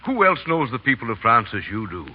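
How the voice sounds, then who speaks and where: An actor playing a worldly French book publisher, expressive and theatrical in a 1930s radio drama. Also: male voice, medium pitch, fast, husky. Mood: jovial. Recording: dull 1930s radio broadcast with music.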